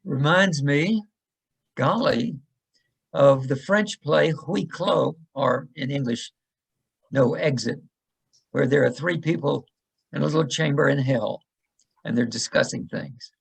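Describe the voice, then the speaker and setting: A man in a church study group musing aloud in a slow way. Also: a little high, gravelly, husky, rough recording. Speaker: class member. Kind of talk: casual group discussion.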